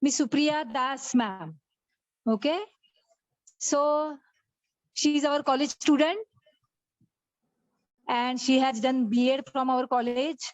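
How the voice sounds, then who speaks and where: High-pitched Indian woman on muffled call audio. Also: slow pace, pauses often. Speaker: college teacher hosting an online workshop. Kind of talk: informal spoken introduction to an online session. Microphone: phone on an online call.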